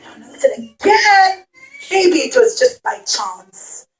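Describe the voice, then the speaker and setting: A woman telling a Bible story to young children in an expressive, teacherly way, with simple repeated phrases and questions. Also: very high-pitched, slow pace, shouting.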